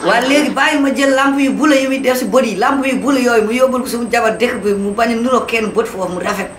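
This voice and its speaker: An actor voicing an excitable, loudmouthed schemer in a cartoon, with a Senegalese accent and an exclamatory, scolding delivery. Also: medium pitch, fast, close to an everyday voice. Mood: scheming. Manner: exclamatory, scolding, switching between two languages. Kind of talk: animated cartoon voice acting in comedy. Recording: roomy, echoing, with background noise.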